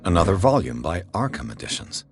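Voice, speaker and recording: An actor playing a brooding male investigator in a video game, thinking aloud in a gravelly voice. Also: sits low, very animated, brisk, soft background music, exaggerated voice. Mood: brooding, curious. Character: brooding male investigator examining occult clues.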